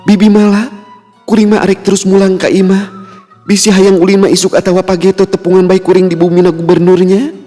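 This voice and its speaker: Casting: man as obliging noble maiden in a storybook tale, pitched well up, music behind. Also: flat delivery, fairly quick, mellow, natural voice. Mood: polite. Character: gracious young noblewoman, seventeen, assured and obliging. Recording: long reverb, music bed.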